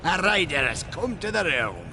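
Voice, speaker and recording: Excitable fantasy stranger for a game; raised, animated pitch under heavy ambient noise. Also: male voice, moderate pace. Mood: awed. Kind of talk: video game cutscene acting. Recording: loud ambient noise behind voice.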